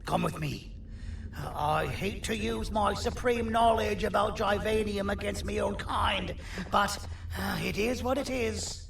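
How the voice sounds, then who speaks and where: A man voicing a four-armed, self-important monster surgeon in a video game, gravelly, theatrical and sardonic with a folksy drawl. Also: high voice, a little slow, a bit bright, full character voice. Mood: scheming. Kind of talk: video game character acting. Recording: roomy echo, distant, faint noise.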